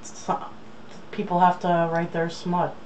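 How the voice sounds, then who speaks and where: A woman's voice: on the low side, monotone, slow pace, darkish tone. A woman in casual chat, making wry, teasing comments as a co-host. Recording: distant mic, faint background noise.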